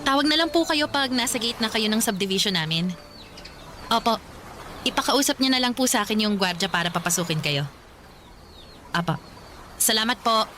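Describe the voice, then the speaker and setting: A woman's voice: on the high side, expressive, normal speed, pauses often, pushed and bright, soft background music. A woman playing a frank young single woman in a Tagalog radio drama, speaking in a candid, conversational, emotive way. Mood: cheerful.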